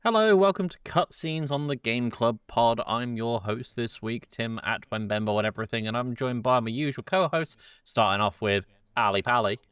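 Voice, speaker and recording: A man's voice: mid-range voice, moderate pace, hardly any pauses, a bit bright, clear, resonant tone, faint music behind. A man hosting in a casual, chatty way, explaining things loosely and often stopping to rephrase himself. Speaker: podcast host. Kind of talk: casual chat with loose, informal summarising.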